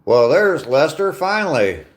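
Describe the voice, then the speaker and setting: A man's voice: a little high, sing-song, measured pace. A man in his sixties on a live stream, reading out viewer comments and answering them in a chatty, easygoing way with dry humor, talking into his phone while out on a walk. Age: 60s. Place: outdoors on a walk.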